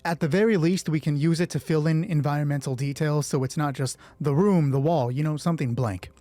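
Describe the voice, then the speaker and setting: A man narrating a solo tabletop roleplay as host, moving between measured storytelling and casual asides. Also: a little high, dark tone, dry recording.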